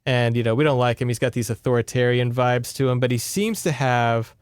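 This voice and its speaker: A man's voice: medium pitch, fairly quick, smooth, dry recording, studio quality. A man with an American accent chatting casually and wryly as he recaps a book as a podcast co-host. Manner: wry, informal, sarcastic.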